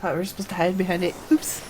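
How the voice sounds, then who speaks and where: A woman's voice: lowish voice, lively intonation, fast talker, noisy background. A woman streamer giving casual commentary while playing a video game, muttering to herself as she plays.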